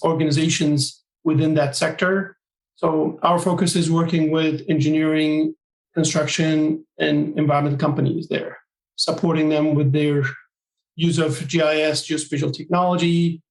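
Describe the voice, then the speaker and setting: A man from Turkey, a guest on an interview podcast, explaining his work in measured, listing phrases. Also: fairly high pitch, gravelly, echoey room, low-quality recording. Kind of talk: interview answers.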